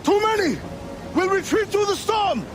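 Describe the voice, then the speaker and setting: An actor playing a determined, commanding ship captain, a pirate turned assassin, in a dramatic video game. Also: male voice, very high-pitched, expressive, moderate pace, over music. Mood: urgent, shouting. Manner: commanding, resolute.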